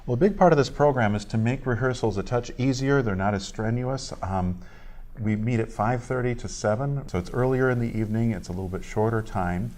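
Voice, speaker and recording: A man being interviewed as a theatre director, explaining a show in a measured way with short halting pauses. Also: medium pitch, darkish tone.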